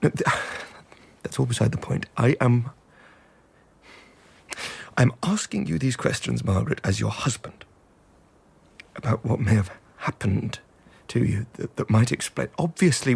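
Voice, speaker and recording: Troubled husband, period radio drama: rough, husky, fry-edged, halting and repressed. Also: male voice, medium pitch. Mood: awkward, strained. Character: troubled, stiff English husband, halting and bitter after a catastrophe.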